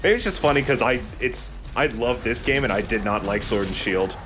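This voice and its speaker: A man's voice: medium pitch, fairly quick, fairly bright tone. A man hosting a let's play, chatting casually as he comments on the game. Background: game music.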